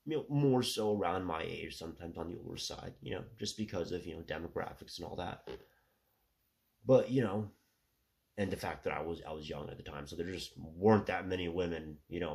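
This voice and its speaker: A man in his thirties talking through a rambling casual monologue with a stuffy nose, filling every pause with "you know" and "right". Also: deep voice, vocal fry. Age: 30s. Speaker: solo commentator.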